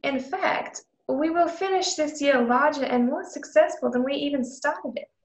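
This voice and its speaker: A woman's voice: high voice, moderate pace. A woman playing a haughty, condescending widow who runs a frontier business, in a radio drama. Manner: condescending, imperious. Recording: roomy, echoing home recording.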